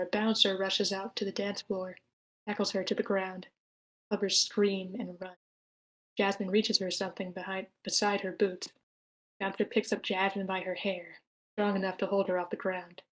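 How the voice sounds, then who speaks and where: A woman's voice: medium pitch, level tone, normal speed, fairly bright tone, poor audio. A woman reading stage directions in a matter-of-fact way at a screenplay table read.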